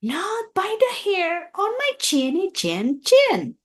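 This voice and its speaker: A woman's voice: very high-pitched, sing-song, slow, voice pushed up, full character voice. A woman voicing a defiant little pig crying out in a children's storybook read-aloud.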